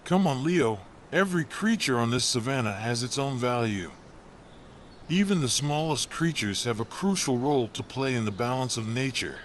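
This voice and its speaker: A man voicing a wise, kindly old elephant in a children's storybook, speaking softly and encouragingly. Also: mid-range voice, lively intonation, moderate pace, slight background noise, natural voice. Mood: gentle, reassuring. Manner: soft, gentle, encouraging.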